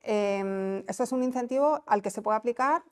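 A woman's voice: fairly high pitch, moderate pace, a little mellow, clean tone. A woman with a non-Canarian Spanish accent giving an informal conference presentation, explaining conversationally and checking that listeners follow. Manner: explanatory, conversational, checks understanding with tag questions. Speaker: conference presenter, business incentive adviser. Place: small indoor conference room. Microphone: lapel mic.

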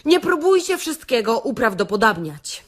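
A woman playing a frank, bossy beautician in an audio play monologue, confiding and wry, with theatrical pauses and an earthy, tender streak. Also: a little high, big pitch swings. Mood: playful, instructing. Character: frank, bossy female beautician parodying a self-help workshop leader, tender and earthy.

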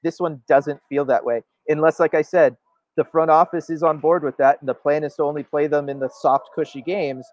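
A man's voice: fairly high pitch, steady tone, fairly quick, darkish tone, dry recording. A man co-hosting a sports show, giving emphatic opinionated commentary and often checking for agreement. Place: indoor room, video call.

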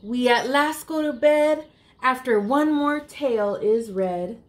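A woman's voice: high voice, slow pace. An American woman, around her thirties, reading aloud expressively as the host of a children's storytime. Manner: addresses young listeners, expressive picture-book reading.

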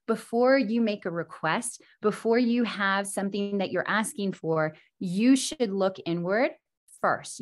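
A woman in her thirties, a little froggy from a recent throat infection, giving an earnest, upbeat talk as a guest speaker over a video call from her kitchen at home. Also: medium pitch. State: recovering from strep throat and bronchitis, a bit froggy. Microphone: video call, laptop camera.

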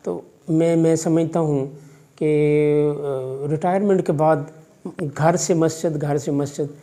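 उर्दू लहजे वाला अधेड़ आदमी, नरम धीमी आवाज़. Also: male voice, fairly high pitch, steady tone, dark tone. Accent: Pakistani Urdu. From Pakistan. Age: 50s. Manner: measured, fatherly, religious phrasing, hesitant fillers. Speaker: college vice principal. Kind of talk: interview answers. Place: office in a college. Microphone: far camera.

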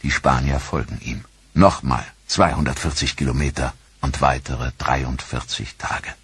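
A man narrating a history documentary in a measured, sober manner. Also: a bass voice, fairly even intonation, fairly bright tone, breathy edge. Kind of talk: scripted narration. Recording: dry studio voice-over.

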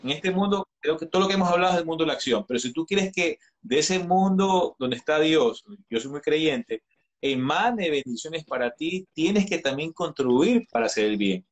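A man in his thirties with a slightly high voice speaks earnestly as an interview guest. He gives advice in a calm, reflective way, often restarting his phrases. His voice comes through a webcam on a video call from his home. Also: normal speed. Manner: earnest, advising, frequent restarts and hesitations. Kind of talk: earnest live-stream conversation.

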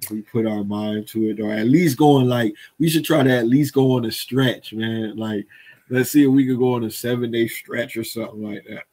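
A man's voice: mid-range voice, normal speed, a little mellow. A man in his thirties co-hosting a commentary show, chatting off the cuff in slangy phrases over a headset on a video call from home. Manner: slangy, often adds "you feel me" and "man". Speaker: co-host of a news commentary show. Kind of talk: casual unscripted chat.

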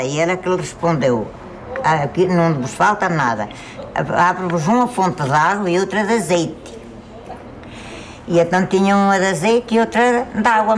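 A woman in her seventies, a farmer with a northern Portuguese rural accent, telling a village legend haltingly and repeating words. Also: lowish voice. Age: 70s. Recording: faint outdoor noise.

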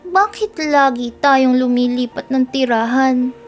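A woman's voice: high voice, a little slow, faint music behind, pitched above the performer's own voice. A Filipino-accented woman voicing a weary young wife in a storybook, pleading plaintively for a settled home.

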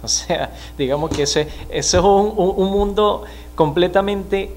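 A man in his thirties from Venezuela, with a Venezuelan accent, speaking as a criminologist guest in a studio interview, measured and explanatory with frequent hesitations. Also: high voice, a little mellow, a little hiss or hum. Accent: Venezuelan Spanish. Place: small TV studio. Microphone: mic on desk stand.

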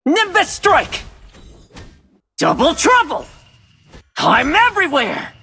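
An actor playing a cocky, restless immortal monkey king in a video game, with a husky voice and a brash, taunting, theatrical delivery. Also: very high voice, wildly swooping pitch, takes it slowly, pushed and bright, exaggerated voice. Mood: combative, boastful. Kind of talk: video game hero voice lines.

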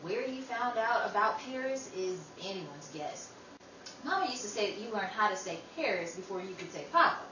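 A woman's voice: mid-range voice, animated, normal speed, bright, forceful tone. A woman playing a sensible, protective working sister in an old-time radio style drama, motherly and emphatic. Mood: firm. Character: Emily, sensible, protective young working sister, firm peacemaker. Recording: roomy, distant mic, noisy.

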